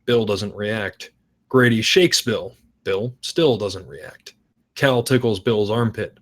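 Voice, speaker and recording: A man's voice: lowish voice, slow pace. A man reading out stage directions as narrator at a script table read.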